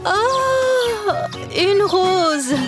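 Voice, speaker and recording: An actress playing a brainy, teasing young woman student in a French audio drama, playful and expressive in her manner. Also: very high-pitched, very slow, full character voice. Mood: delighted. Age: young adult. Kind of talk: French audio fiction acting. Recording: music behind the voice. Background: music.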